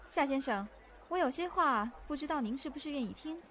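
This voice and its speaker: A woman's voice: high voice, animated, normal speed, a bit bright. A woman playing a composed, firm secretary in an audio drama, speaking politely and formally. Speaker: voice actor in an amateur audio drama. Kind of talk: acted audio drama dialogue. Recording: faint ambient noise.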